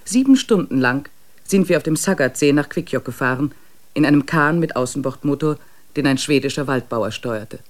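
A man playing a traveler who narrates his diary in a measured, reflective way in a radio play. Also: a little high, animated, close to an everyday voice.

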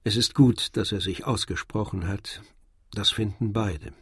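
A man's voice: fairly low pitch, a little slow, a bit bright, husky, dry recording, studio quality. A man reading scripted literary narration as the narrator of a radio drama. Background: violin and other music.

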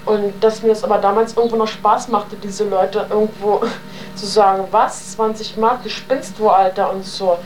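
A young woman from an old, slightly noisy interview tape speaks at a medium pitch. She tells her own story loosely, in slangy everyday words, wandering from clause to clause. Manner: rambling, with slangy wording. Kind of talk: spontaneous, colloquial oral testimony.

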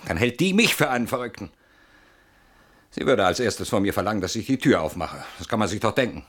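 An actor playing an anxious, defensive husband in a classic German radio play, agitated, stubborn and sardonic, with dramatic pauses and repeated words. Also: male voice, lowish voice, big pitch swings, fairly bright tone. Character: anxious, defensive husband barricaded behind his door.

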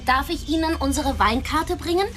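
An actress playing a naive, sulky young streetwalker who dreams of acting, childlike and whiny, in a German radio drama. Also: female voice, high voice, moderate pace, fairly bright tone. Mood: wistful, sulky.

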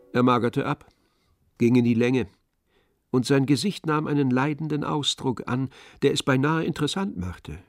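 A man's voice: mid-range voice, vocal fry. A man reading literary narration as the storyteller of a radio play.

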